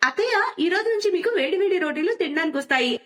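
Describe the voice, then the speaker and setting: A woman's voice: extremely high pitch, animated, fast talker, fairly bright tone, reverberant, voice pushed up. A woman voicing an outspoken, put-upon young daughter-in-law with an emphatic, girlish delivery in a moral-story cartoon. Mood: cheerful.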